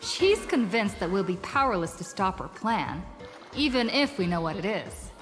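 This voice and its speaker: Casting: young, plain-spoken game heroine, woman performer. Medium pitch, plucky and direct, music underneath. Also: sing-song, normal speed, lightly acted. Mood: determined. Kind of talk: video game voice acting. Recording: music behind the voice.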